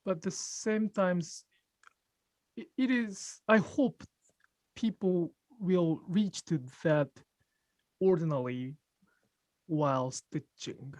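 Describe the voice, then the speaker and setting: Japanese-accented man, thoughtful and halting. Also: high-pitched, a little mellow, no echo. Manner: thoughtful, pauses to search for English words, laughs often. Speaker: sashiko teacher. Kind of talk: casual group conversation.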